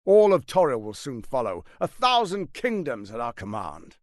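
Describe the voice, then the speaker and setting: An actor playing a scheming, menacing fantasy tyrant in a video game, silky, grandiose and condescending, speaking with theatrical deliberation. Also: male voice, medium pitch, huge pitch swings, normal speed, dry recording, clean studio sound. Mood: grand, urgent. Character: scheming, smooth, menacing male tyrant lord and political mastermind.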